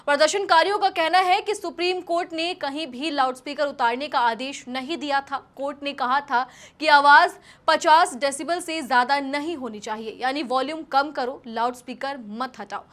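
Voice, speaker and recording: A woman's voice: sits high, moderate pace, a bit bright. A woman news presenter delivering scripted commentary in an emphatic, rhetorical style.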